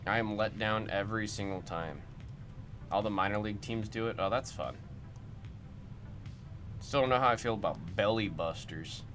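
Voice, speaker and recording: Lowish-voiced man chatting on a livestream. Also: moderate pace, pauses often, a bit bright. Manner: rambling, puzzled, chatty. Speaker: livestream host of card breaks. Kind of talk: casual chat reacting to viewer comments. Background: music.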